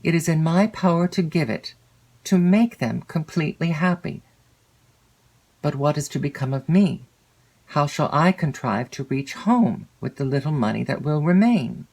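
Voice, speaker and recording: A woman narrator voicing a generous, perplexed young gentleman in an audiobook, musing earnestly to himself. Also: deep voice, animated, normal speed, low-quality recording. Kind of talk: audiobook character voice.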